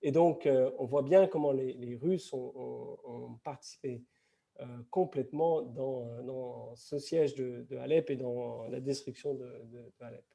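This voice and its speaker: A man speaking at a post-screening film discussion, reflective and halting, with many restarts. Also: medium pitch, steady tone, gravelly, warm tone. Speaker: festival panelist.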